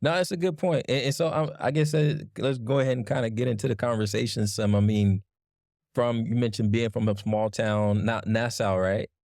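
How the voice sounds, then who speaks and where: A man hosting a podcast, chatting in a relaxed, slangy way. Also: medium pitch, moderate pace, no room sound, clean studio sound. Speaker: podcast host. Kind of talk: casual interview chat.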